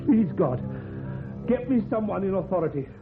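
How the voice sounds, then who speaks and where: An actor playing an agitated, stammering husband whose wife has vanished, in a radio drama. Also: male voice, sits high, big pitch swings, moderate pace, over music. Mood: frantic, desperate. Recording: muffled, phone-like old radio recording, hissy.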